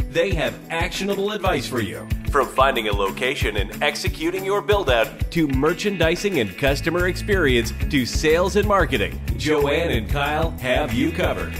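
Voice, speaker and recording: A man reading a scripted podcast promo in a smooth, even announcer manner. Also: medium pitch. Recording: voice over guitar music.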